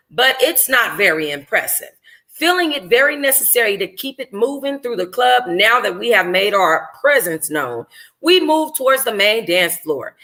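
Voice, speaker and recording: Book club host: woman, bright forceful voice, informal and sassy, reading like a casual livestream. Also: mid-range voice.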